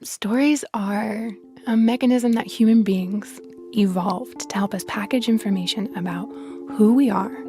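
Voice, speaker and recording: A woman, a podcast guest explaining science in an expressive, articulate, conversational way. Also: medium pitch, measured pace, faint music behind.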